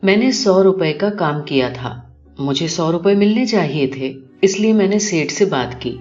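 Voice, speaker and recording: A woman's voice: lowish voice, lively intonation, darkish tone. A woman reading a literary short story as scripted first-person audiobook narration. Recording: dull lo-fi recording with music behind. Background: clear music.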